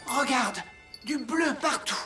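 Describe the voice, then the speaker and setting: Worried cartoon toy mechanic, high pitched, fretful n exclamatory, music in back. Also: female voice, takes it slowly. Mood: dismayed. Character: anxious toy mechanic with a troubled workshop. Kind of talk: French children's cartoon dub acting. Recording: children's cartoon music underneath.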